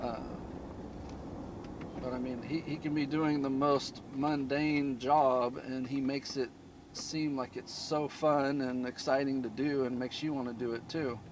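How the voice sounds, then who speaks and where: A man hosting a DIY car channel, chatting casually in a flat, low-key monotone from inside a moving car. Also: unhurried, background noise. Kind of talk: casual vlog talk while driving.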